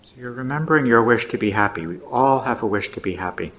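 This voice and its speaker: A man teaching meditation, giving gentle, unhurried guided instructions with pauses. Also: mid-range voice, expressive.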